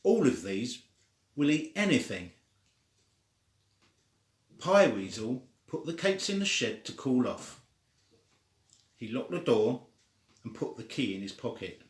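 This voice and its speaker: An older man reading a children's story aloud informally, with a halting, deliberate delivery. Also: medium pitch, big pitch swings. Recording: echoey room.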